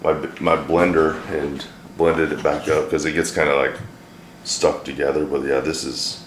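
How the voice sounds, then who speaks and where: A middle-aged man with a deep, slightly hoarse voice, chatting and joking through a home cooking vlog. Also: flat delivery, normal speed. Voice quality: deep, a little hoarse from a throat bug. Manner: joking, rambling, dry self-deprecating humor. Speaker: vlogger, home cook. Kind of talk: casual cooking vlog chat. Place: home kitchen. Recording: echoey kitchen, faint hiss.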